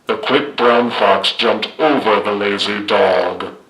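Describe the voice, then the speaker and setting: A man voicing a commanding alien war machine through a grating toy voice changer filter, speaking in slow, flat, clipped commands. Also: on the low side, reverberant, soft background music, rough recording.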